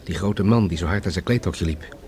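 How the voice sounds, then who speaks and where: An actor playing a sober, measured, mildly wry police detective in a Dutch radio play. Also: male voice, low-pitched, a little mellow, close to an everyday voice. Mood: measured reporting.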